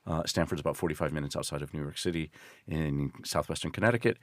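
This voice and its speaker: A man's voice: rumbling low, fast talker, no room sound. An American man in his sixties, an interview guest telling stories in an articulate, explanatory, conversational way. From northeastern United States. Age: 60s. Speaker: interview guest, journalist and risk consultant. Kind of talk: measured conversational storytelling.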